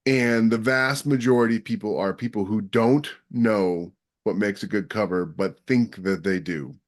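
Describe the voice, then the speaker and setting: Emphatic low-voiced man explaining on a podcast. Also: normal speed. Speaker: podcast co-host. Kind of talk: casual podcast chat.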